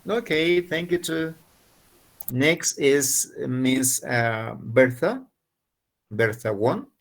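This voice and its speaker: Gravelly-voiced man running an online class. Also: medium pitch, lively intonation, drawn-out words. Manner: switches between Spanish and English, gives instructions. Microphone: video call.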